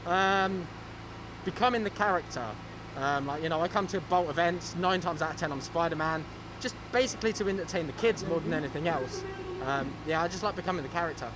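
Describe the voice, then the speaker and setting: A man's voice: on the high side, a little fast. A man cosplayer talking in an easygoing way in an interview at a convention. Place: busy convention hall. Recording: noisy background.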